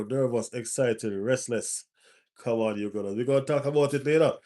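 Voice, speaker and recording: A man's voice: mid-range voice, darkish tone. A man with a stuffy nose hosting a casual live stream as a football fan, repeating his phrases for emphasis.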